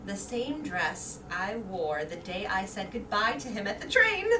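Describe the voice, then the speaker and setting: A woman's voice: medium pitch, big pitch swings, measured pace. A woman playing a vain, anxious middle-aged wife in a staged reading, with a theatrical, emotional delivery. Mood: girlish excitement. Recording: distant roomy stage recording with background noise.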